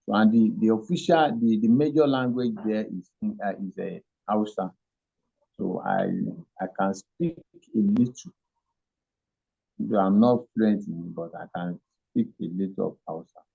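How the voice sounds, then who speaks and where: A Nigerian man giving an interview, answering haltingly with many fillers and restarts. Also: fairly low pitch, big pitch swings, gravelly. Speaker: interview guest. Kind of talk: hesitant conversational answers. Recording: dull lo-fi audio.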